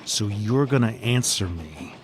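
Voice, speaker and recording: Gruff obsessed hunter, somewhat low pitch, menacing, clipped demands, audio drama, noisy train ambience. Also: male voice, lively intonation, a little slow. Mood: grim, demanding. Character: gruff, obsessed man hunting an old seafarer. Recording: noticeable background noise, train ambience.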